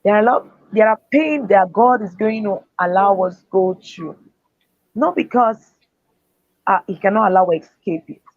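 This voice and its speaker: A woman's voice: mid-range voice, moderate pace, dry recording. A woman speaker and author giving earnest, faith-driven motivational answers in an interview. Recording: dull, dry lo-fi sound.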